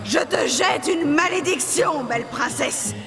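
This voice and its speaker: Gravelly, slow, wicked fairy sorceress. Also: female voice, high voice. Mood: menacing, gloating. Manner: theatrical, menacing, drawn-out delivery. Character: wicked, haughty, vengeful evil fairy sorceress. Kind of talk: animated fairy tale dub. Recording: long hall reverb, music underneath. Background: music.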